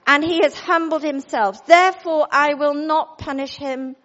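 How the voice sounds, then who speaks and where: A woman's voice: sits high, steady tone, measured pace, a bit bright, lo-fi sound. A woman voicing God, solemn and stern. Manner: solemn, pronouncing.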